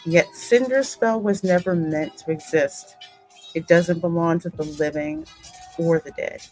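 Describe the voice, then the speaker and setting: Female game host and narrator: deep register, measured pace, dramatic storytelling delivery with conversational asides. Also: poor audio. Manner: deliberate, dramatic reading. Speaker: gaming channel host and narrator.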